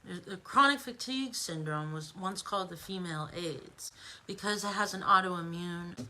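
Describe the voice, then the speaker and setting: A woman's voice: fairly low pitch, moderate pace, pushed and bright, poor audio. An American woman giving an informal opinion monologue as an online commentator, emphatic and conversational, with rhetorical asides.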